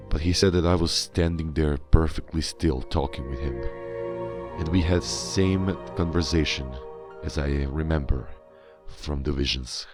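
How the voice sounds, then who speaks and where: Very deep-voiced man narrating. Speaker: gamer narrating as his character. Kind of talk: in-character recap narration. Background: music.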